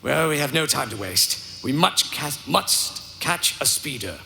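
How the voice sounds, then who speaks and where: An actor in a live audio drama voicing a fussy, anxious protocol droid with a prim, formal manner. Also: male voice, fairly high pitch, animated, unhurried, gravelly, sharp tone, heavily acted. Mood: fretful. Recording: noisy live stage, hall reverb.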